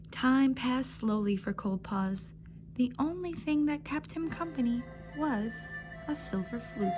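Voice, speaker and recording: Female storyteller for young children: slow, deliberate read-aloud, slightly high pitch, encouraging delivery. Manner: simple, encouraging address to young listeners.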